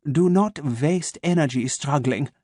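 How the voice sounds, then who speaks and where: Clinical German scientist, middle-aged, measured and menacing, read by a male narrator; dry booth. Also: on the high side, darkish tone, no room sound. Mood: cold. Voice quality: crisp, clipped. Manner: slow, measured, coldly formal.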